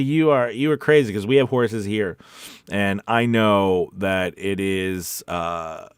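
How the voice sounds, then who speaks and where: A man's voice: fairly low pitch, clean tone, dry recording, clean studio sound. A man hosting a casual livestream as a voice-over coach, talking in an informal, rambling and encouraging way. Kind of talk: casual livestream chat, reading viewer comments.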